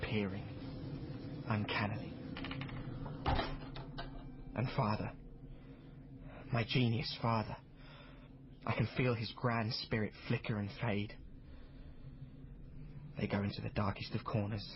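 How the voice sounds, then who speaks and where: A man's voice: on the low side, level tone, slow, long pauses, lo-fi sound, background noise. An actor playing a proud, eager fourteen-year-old boy from travelling players, narrating a radio drama in a chatty, theatrical way. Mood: ominous, hushed.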